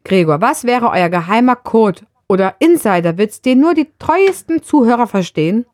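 A woman's voice: on the high side, very animated, moderate pace, darkish tone, dry recording, studio quality. A woman reading out scripted questions to podcast hosts. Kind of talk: scripted questions read aloud.

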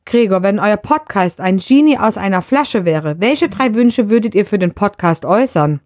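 A woman reading out scripted interview questions in a neat, even and formal way. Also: mid-range voice, moderate pace. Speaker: question reader. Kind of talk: scripted questions read aloud.

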